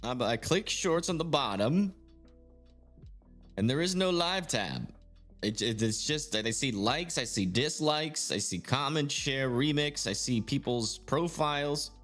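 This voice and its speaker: Lively-voiced man hosting a weather livestream. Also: medium pitch, a little slow, faint music behind. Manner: distracted, thinking aloud with many fillers. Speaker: livestream host. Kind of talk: casual livestream commentary.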